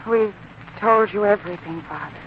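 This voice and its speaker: An actress playing a devoted, determined young bride, earnest and pleading, in a 1940s radio drama. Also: female voice, mid-range voice, moderate pace, natural voice. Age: young adult. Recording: muffled, noisy 1940s radio recording.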